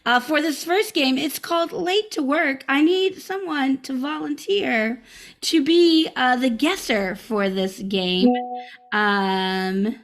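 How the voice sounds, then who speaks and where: A woman's voice: sits high, slow pace, faint music behind. A woman hosting a live online improv comedy show over a video call, upbeat and chatty with many fillers and restarts.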